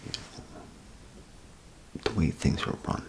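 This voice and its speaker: A man doing a soft-spoken, half-whispered ASMR role play as a chatty, grumbling bathroom attendant, rambling and hesitant in a folksy way. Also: drawn-out words, noisy background. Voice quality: gravelly, breathy half-whisper. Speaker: ASMR performer.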